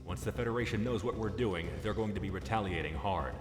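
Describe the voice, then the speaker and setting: A man playing a grave, steady military officer giving a scripted video game mission briefing. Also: fairly low pitch, fast, close to an everyday voice. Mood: businesslike. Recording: echoey hall reverb, loud music behind.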